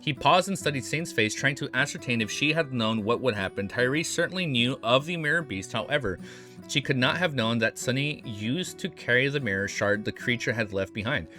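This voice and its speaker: A young man streaming a reaction, reading a novel aloud with excited, swearing outbursts, his voice a bit hoarse. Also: mid-range voice, a little fast, soft background music.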